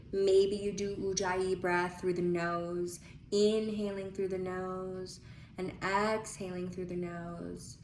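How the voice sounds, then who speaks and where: A woman leading a guided breathing visualization in a soothing, unhurried manner with pauses between phrases. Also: lowish voice, a little mellow. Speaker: guided meditation leader. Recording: echoey room with faint noise.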